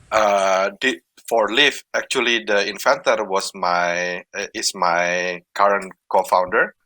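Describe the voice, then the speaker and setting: A man's voice: deep voice, sharp tone, no room sound. A man from Indonesia, a startup co-founder recounting his work on a panel. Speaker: startup co-founder and former university researcher. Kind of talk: panel talk, telling how his company began.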